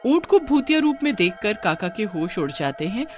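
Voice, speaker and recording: A woman's voice: medium pitch, very animated, moderate pace, faint music behind. A woman giving scripted storytelling narration as the narrator of a tale.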